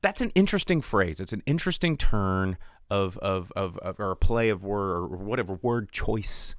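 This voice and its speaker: A man talks off the cuff like the host of a live show, opinionated and slangy. His pitch moves around a lot as he slips into imagined quotes. The sound is dry and close, with casual, conversational phrasing. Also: expressive, normal speed, no room sound.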